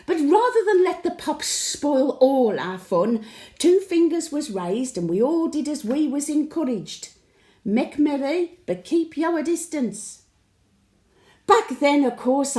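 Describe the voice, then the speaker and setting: A woman's voice: high voice, very animated, takes it slowly, darkish tone, reverberant, full character voice. A woman playing a cheeky, wistful elderly market-trader widow with a Birmingham accent, telling her tales in a chatty, colloquial audio monologue. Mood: fond, cheeky. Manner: colloquial storytelling with dry chuckling asides. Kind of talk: audio monologue, chatty diary addressed to late husband.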